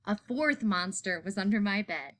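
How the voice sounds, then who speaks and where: A woman reading a children's picture book aloud in an expressive narrating style. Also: mid-range voice, normal speed. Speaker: story reader.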